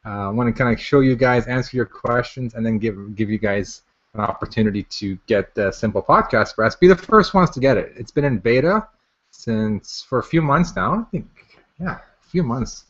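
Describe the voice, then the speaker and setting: Man, medium pitch, casual live presenter, unscripted, repetitive informal phrasing, dull low-fidelity recording. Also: animated, moderate pace, a bit bright. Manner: informal, repeats phrases as he goes. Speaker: software maker hosting a product launch webinar. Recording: dull, lo-fi webinar audio.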